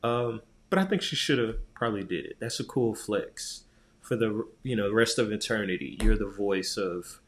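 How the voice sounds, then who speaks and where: A man's voice: lowish voice, normal speed, a little hiss or hum. A man co-hosting a podcast in casual chat, talking in a slangy, joking, rambling way. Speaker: podcast co-host.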